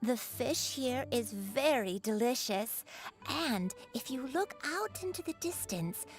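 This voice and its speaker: An elderly, forgetful granny in a fantasy game. Her high voice moves slowly and hesitantly, gentle and wistful, over faint music. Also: exaggerated voice. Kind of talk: video game character voice acting.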